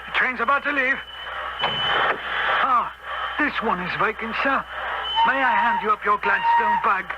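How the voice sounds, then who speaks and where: A chatty, obliging train guard in an old radio play. His voice is high and folksy, in a dull recording under heavy station noise. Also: wildly swooping pitch, measured pace, heavily acted. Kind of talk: 1970s radio drama acting.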